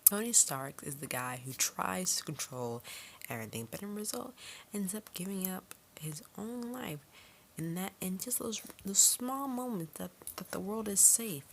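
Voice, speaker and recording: A woman's voice: mid-range voice, expressive, moderate pace. A woman giving a casual, rambling film review in an informal, chatty way, often interrupting herself with tangents. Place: her room at home. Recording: clearly noisy home recording with clicks.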